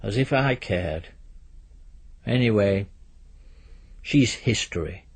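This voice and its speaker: Man doing a offhand young bloke from an audiobook, casual slangy talk, bit husky. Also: fairly low pitch, animated, slow, voice pushed down, full character voice. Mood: aggrieved, offhand. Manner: casual, slangy, hesitant fillers. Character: laid-back, slangy young man, recently dumped, offhand.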